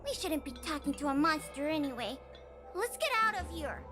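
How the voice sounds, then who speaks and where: A scornful little bully in a game cutscene. The voice is extremely high and animated, full of exaggerated sneering. Music plays clearly behind it. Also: female voice, moderate pace. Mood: disgusted, scornful. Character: snotty, scornful bullying child. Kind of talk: video game cutscene acting. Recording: music under the voice.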